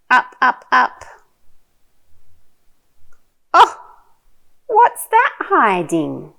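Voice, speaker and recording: A woman playing a delighted, playful picture-book reader for a baby, with sing-song, exaggerated, drawn-out words. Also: mid-range voice, a bit bright, exaggerated voice. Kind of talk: picture-book read-aloud for babies.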